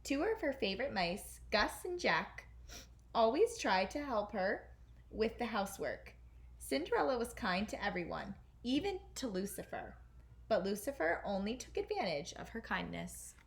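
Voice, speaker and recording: A woman teacher reading a children's fairy tale aloud in an expressive storytelling style. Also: mid-range voice, normal speed, vocal fry.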